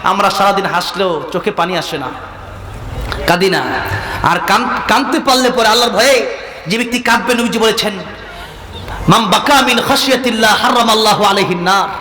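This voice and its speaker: A man with a Bangladeshi accent preaching an impassioned waz sermon, exhorting his listeners and moving between plain talk and drawn-out melodic chanting. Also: sits high, bright, forceful tone, slight background noise. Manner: impassioned, exhorting, calls for responses. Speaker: Islamic preacher. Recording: echoing PA sound with long reverb.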